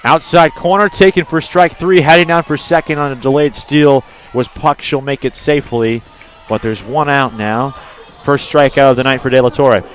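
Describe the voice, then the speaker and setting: A man doing live radio play-by-play as an announcer, lifting into excited calls on big plays. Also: mid-range voice, normal speed, faint music behind. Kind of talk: live radio sports commentary.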